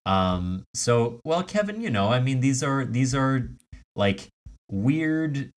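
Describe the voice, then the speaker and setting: A man's voice: mid-range voice, sing-song, takes it slowly. A man hosting a comedy podcast, trading casual comic banter.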